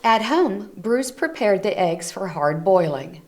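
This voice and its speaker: A woman reading a picture book aloud to children, gently explaining the pictures as she goes. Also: medium pitch, big pitch swings, takes it slowly. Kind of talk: read-aloud storytelling for children. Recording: community TV recording.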